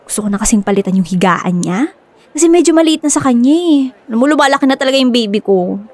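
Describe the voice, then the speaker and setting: High-voiced, doting young dog owner. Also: female voice, lively intonation, fast, a little mellow. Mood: gushing, excited. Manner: gushing, chatty, stubborn. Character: excitable, doting young woman devoted to her pet dog. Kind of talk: Filipino radio drama acting.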